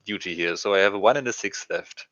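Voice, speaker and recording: A man's voice: lowish voice, fast, fairly bright tone, no echo. A man chatting casually as a game player, hesitant and repeating words as he thinks aloud, in slightly lo-fi audio. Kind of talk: casual chat while playing.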